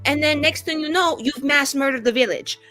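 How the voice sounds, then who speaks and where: A woman's voice: high-pitched, a little fast, pushed and bright, soft background music. A woman playing a vain, sassy but worried companion in a tabletop game, arguing with teasing, emphatic exasperation. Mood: exasperated, warning.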